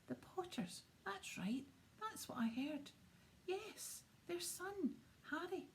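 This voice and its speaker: A woman narrating a storybook aloud in a soft, often whispered voice. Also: medium pitch. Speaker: audiobook narrator. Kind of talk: storybook reading.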